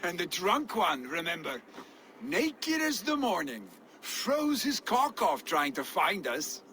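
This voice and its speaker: An emotional young Viking son who'd rather roam than lead; slow, bright, open. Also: male voice, high-pitched, very animated, a little hiss or hum, full character voice. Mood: laughing banter. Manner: earnest, boyish, emotional. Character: restless young male Viking jarl's son. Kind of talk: video game voice acting.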